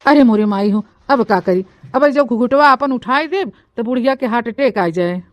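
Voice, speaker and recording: A woman's voice: fairly high pitch, animated, normal speed, no echo, close to an everyday voice. A woman voicing a scheming, grumbling young village bride with an eastern Uttar Pradesh accent in a comic cartoon. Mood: panicked, lamenting. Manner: melodramatic, grumbling. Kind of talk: comic cartoon story dialogue in rustic dialect.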